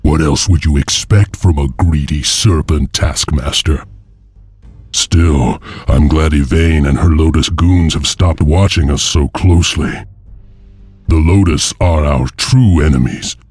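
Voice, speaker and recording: Vengeful warrior heir, very low voice, slow, solemn, deliberate, fantasy game narration. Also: male voice, a little mellow, breathy edge, no echo, soft background music, full character voice. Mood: calm, guarded. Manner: grave, deliberate, storyteller-like. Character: solemn, vengeful male heir of an enslaved wolf clan. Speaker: story narrator and lead character. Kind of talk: dramatic scripted game narration and dialogue.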